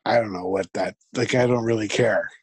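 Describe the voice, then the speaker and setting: A man with a fairly deep voice talks as an interview guest. He speaks reflectively and haltingly, often restarting his sentences. His voice is recorded close and dry, with little room sound. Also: fairly even intonation, husky, no room sound. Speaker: podcast guest. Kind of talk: conversational interview.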